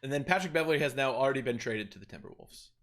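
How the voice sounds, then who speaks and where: A man's voice: medium pitch, animated, racing, a bit bright. A man hosting a casual sports podcast, joking with his co-hosts and steering the talk to the next segment. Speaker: podcast host.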